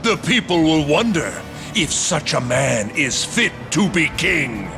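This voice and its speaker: An actor voicing a scheming, cruel general in a video game, with a smug, menacing and theatrical delivery. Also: male voice, a little high, very animated, takes it slowly, gravelly, full character voice. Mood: gloating. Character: scheming, cruel male general plotting against his king. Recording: music behind the voice.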